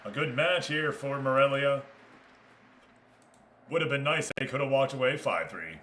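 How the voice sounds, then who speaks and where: Male American livestream host, medium pitch. Casual, chatty game commentary that switches between greeting viewers and explaining the play. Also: normal speed, fairly bright tone, a little hiss or hum. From United States. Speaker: live streamer and host.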